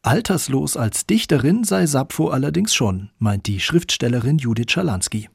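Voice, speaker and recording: A man's voice: mid-range voice, lively intonation, moderate pace, gravelly, breathy edge, dry recording, clean studio sound. A man with a standard German accent reading scripted narration as the narrator of a radio feature.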